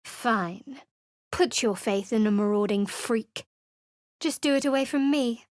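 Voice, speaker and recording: A woman's voice: fairly high pitch, measured pace, dry recording, lightly acted. An actress voicing a guarded, sardonic young woman cleric in a video game, with a dry, cutting delivery. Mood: wary. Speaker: game character. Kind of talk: studio game voice acting.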